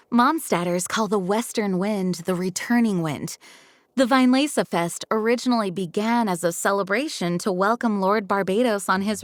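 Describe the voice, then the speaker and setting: A woman voicing a composed, dutiful knight leader in a game cutscene, speaking in a calm, formal and measured way. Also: medium pitch, close to an everyday voice. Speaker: acting grand master.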